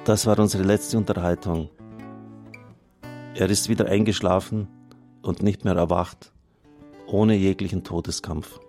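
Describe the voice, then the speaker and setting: A man's voice: low voice, steady tone, unhurried, long pauses, mellow, faint music behind. A man, a priest hosting on radio, speaking in an earnest, plain-spoken way as he reads out listener messages and appeals for support. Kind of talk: reading out listener testimonials and appealing for donations on air.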